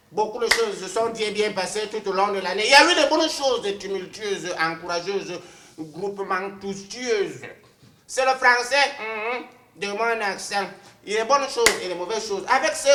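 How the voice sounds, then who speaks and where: A man acting out a bossy middle-aged auntie who runs a comic talk show, loud and full of herself. Her voice is bright and cutting, and it rings in an echoey room. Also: high-pitched, a little hiss or hum. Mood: hosting. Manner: boisterous, bossy, laughs at own accent. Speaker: comedy sketch host. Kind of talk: comedic talk-show parody.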